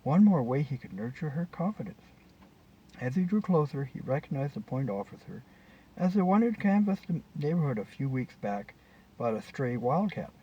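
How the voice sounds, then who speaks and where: A man's voice: mid-range voice, sing-song, normal speed, breathy edge. A man reading his short story aloud as scripted narration. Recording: distant mic, dull, faint background noise.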